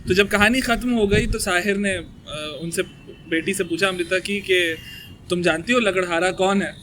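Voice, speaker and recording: A man's voice: high voice, normal speed, pushed and bright, slight background noise. A man hosting a casual talk, telling things conversationally with hesitant phrasing and some English mixed in. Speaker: host of a poetry talk show.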